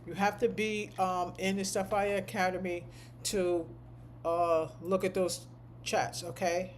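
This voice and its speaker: A woman with a deep voice, a medical coding instructor, chatting casually to her class on a live stream, rambling and repeating points for emphasis. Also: little pitch movement, measured pace, slight background noise.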